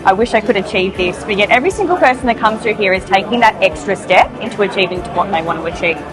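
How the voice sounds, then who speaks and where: A young woman, a training manager with a loud, bubbly way of talking, answering interview questions. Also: medium pitch, expressive, fast. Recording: music bed under the talk.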